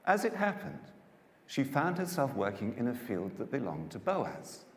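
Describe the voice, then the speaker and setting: A man narrating scripture as a dramatised story, with a measured and expressive delivery. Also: mid-range voice. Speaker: narrator, Bible reader. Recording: echoey hall, long reverb, music.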